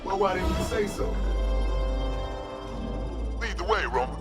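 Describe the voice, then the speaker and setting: An actor playing a big, gruff, unflappable resistance agent in a video game cutscene, blunt and laconic. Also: male voice, sits high, lively intonation, measured pace. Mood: easygoing, dry. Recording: music clearly behind dialogue.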